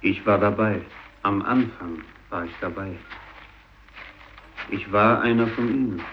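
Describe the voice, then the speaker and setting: Casting: doomed fisherman, sole survivor, low and very slow, solemn monologue, dull vintage radio sound. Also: a little hiss or hum. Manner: solemn, deliberate, halting delivery with heavy pauses. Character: doomed, guilt-ridden Japanese fisherman, sole survivor of his village. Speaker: narrator and main character. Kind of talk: 1950s German radio drama monologue.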